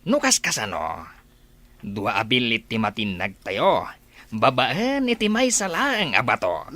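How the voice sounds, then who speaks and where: A man's voice: medium pitch, huge pitch swings, unhurried, bright, forceful tone, dry recording, heavily acted. A man with a Filipino accent playing a sly, scheming, commanding boss of car thieves in a radio drama.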